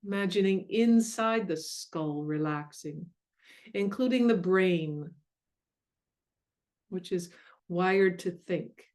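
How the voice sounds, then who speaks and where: A woman leading a guided meditation in a gentle, soothing, unhurried way with long pauses. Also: fairly low pitch. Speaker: meditation guide and mindfulness coach.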